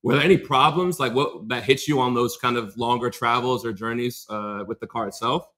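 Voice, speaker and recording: A man with an American accent, hosting a podcast and asking relaxed, friendly questions. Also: mid-range voice, fairly quick. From United States. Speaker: podcast host. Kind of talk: casual interview chat.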